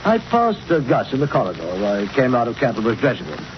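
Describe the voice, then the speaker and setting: Blustering, pompous old-radio politician. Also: male voice, mid-range voice, huge pitch swings, fast. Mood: flustered. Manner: blustering, indignant, sputtering. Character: pompous, irritable, blustering male Member of Parliament. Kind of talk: 1940s radio drama acting. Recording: dull, hissy old radio recording.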